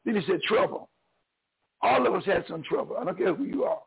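Older Southern preacher, hoarse and gravelly, high lively voice, phone-line sound. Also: male voice, brisk. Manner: preacherly, swings between loud and soft. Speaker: pastor. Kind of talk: encouraging sermon.

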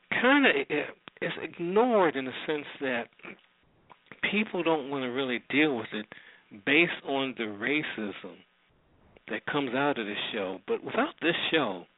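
A man's voice: mid-range voice, no echo, phone-quality sound. A man hosting a radio show, giving unscripted casual commentary and circling back over his points.

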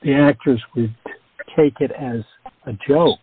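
A man with a medium-pitched voice talks slowly and deliberately, pausing between short fragments. It is casual interview talk on a tinny phone line with light noise. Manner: halting, deliberate. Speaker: cast member.

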